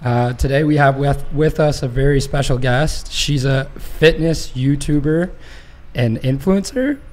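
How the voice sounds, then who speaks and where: A man's voice: medium pitch, unhurried. Laid-back male podcast host. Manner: relaxed, encouraging interviewer. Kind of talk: casual interview chat.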